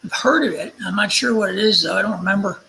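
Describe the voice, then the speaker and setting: A man co-hosting a casual chat, lively and animated when telling a story. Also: sits high, quick pace, creaky, over a noisy crowd, poor audio.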